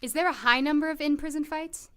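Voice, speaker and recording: A woman plays a forthright, composed doctor in an audio drama. Her voice sits a little high, and she speaks in a polite, matter-of-fact and direct way. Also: steady tone, fast talker, bright, forceful tone. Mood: probing.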